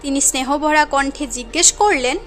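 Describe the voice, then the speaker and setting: A woman's voice: high voice, normal speed, a bit bright, soft background music. A woman giving scripted narration for a cartoon story as its narrator.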